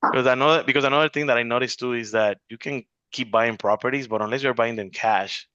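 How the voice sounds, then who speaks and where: A man's voice: medium pitch, fast, pushed and bright, no room sound, lo-fi sound. A man in his forties chatting on a video call about investing, rambling with many restarts and fillers. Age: 40s. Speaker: real estate investor in an accountability group. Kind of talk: casual video call chat.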